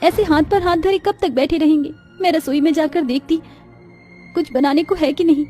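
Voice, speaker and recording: Woman voicing a plaintive high-pitched young village daughter-in-law. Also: normal speed, warm tone, soft background music, natural voice. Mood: anxious. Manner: plaintive, dramatic pleading. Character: poor grieving young village daughter-in-law, earnest and pleading. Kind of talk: Hindi moral cartoon story acting.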